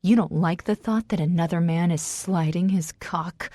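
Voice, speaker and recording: A woman's voice: fairly low pitch, moderate pace, mellow, no echo, clean studio sound, natural voice. A woman voicing a heartbroken, defiant, fiery woman with raw emotion, as a character in a romance audiobook. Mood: taunting.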